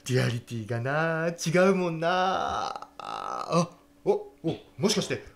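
An eager, cheeky young junior actor. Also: male voice, on the high side, wildly swooping pitch, takes it slowly, gravelly, heavily acted. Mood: smug. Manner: slangy junior-to-senior speech, over-familiar. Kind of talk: radio comedy drama acting.

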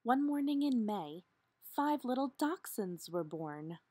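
Husky woman reading a picture book slowly. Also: on the high side, very animated, dark tone, no room sound. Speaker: storybook reader. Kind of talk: expressive children's read-aloud narration.

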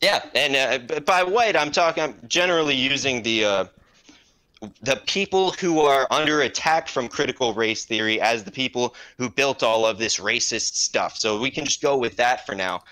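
Male caller, online debate, halting and insistent, lots of restarts, bright sharp voice. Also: medium pitch, animated, poor audio. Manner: halting, repeats words, insistent.

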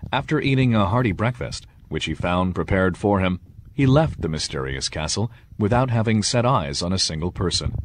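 The low, grainy man's voice you'd hear on a bedtime audiobook of old fairy tales. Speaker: storyteller. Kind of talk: scripted fairy tale narration.

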